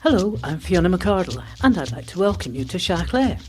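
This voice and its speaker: A woman presenter reads an upbeat scripted welcome with lively rises and falls in her voice. A faint music bed plays behind her in this archive radio clip. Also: lowish voice, brisk.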